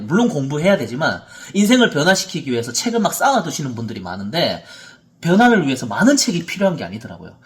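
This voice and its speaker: Expressive man in his thirties, motivational seminar. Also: a little high. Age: 30s. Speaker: motivational speaker, author and coach.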